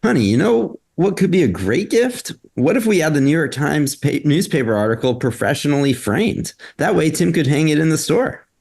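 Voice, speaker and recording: Man playing a lively, gravelly-edged supportive partner. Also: a little high, normal speed, few pauses, exaggerated voice. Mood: admiring, eager. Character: supportive, thoughtful husband or boyfriend. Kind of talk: table read of a script.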